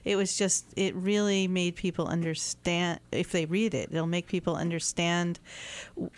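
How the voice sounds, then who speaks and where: A woman's voice: fairly low pitch, steady tone, moderate pace, smooth. A woman co-hosting a radio show, speaking earnestly and stumbling over her words. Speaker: radio co-host.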